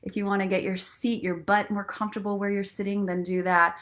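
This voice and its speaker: Woman doing a casual inspirational live show, medium pitch, expressive, kinda playful but earnest and encouraging. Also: brisk. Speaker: host of a live online story and inspiration show. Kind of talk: casual live chat mixed with guided relaxation and motivational talk.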